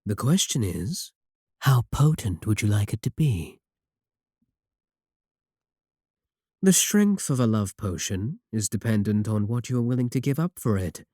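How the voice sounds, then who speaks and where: A man playing a courteous, manipulative warlock shopkeeper in a roleplay audio, coaxing and flattering his guest with polite, unhurried talk. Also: on the low side, dry recording, clean studio sound. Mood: flirtatious, coaxing. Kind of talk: second-person roleplay audio acting.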